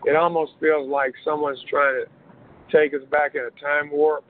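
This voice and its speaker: A man, a pastor being interviewed, speaking in a measured, earnest way with many pauses. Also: on the high side, level tone. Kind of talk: interview conversation. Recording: muffled phone line, faint hiss.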